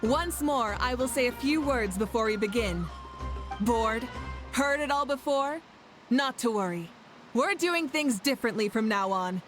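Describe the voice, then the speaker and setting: A woman's voice: a little high, animated, unhurried. A woman voicing a confident female ruler in a video game, giving a rousing, commanding speech as tournament host. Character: confident female ruler addressing her people. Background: game music.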